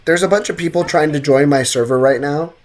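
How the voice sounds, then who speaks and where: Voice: mid-range voice, brisk. A game streamer giving casual livestream commentary in a joking, slangy way.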